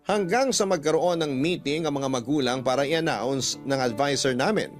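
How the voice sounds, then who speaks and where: A man's voice: on the high side, normal speed, natural voice. A man with a Filipino accent reading a wistful first-person confession as a radio drama narrator. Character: narrator reading a hurt letter sender's confession. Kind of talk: scripted first-person storytelling, reading a letter. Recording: prominent music bed behind the voice.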